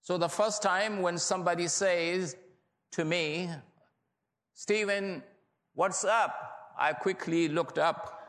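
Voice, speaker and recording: A man's voice: sits high, fairly even intonation, slow pace, fairly bright tone. A man with a Pashto accent, a native Pashto speaker, preaching in a reflective, storytelling way. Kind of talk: sermon. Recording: reverberant hall, distant mic.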